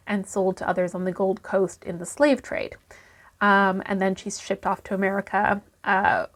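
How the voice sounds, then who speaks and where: A woman talks through her recent reading in a relaxed, chatty way, her voice a little croaky because she is still under the weather. She fills pauses with ums and restarts her sentences as she thinks. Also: medium pitch. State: croaky voice from illness. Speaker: book vlogger. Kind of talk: casual book review chat.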